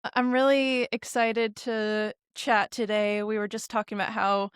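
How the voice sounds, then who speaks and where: Woman, podcast host, smooth resonant voice, dry recording, friendly and upbeat. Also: on the high side, level tone, moderate pace, no room sound. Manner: friendly, upbeat, loose questions. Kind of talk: casual interview talk.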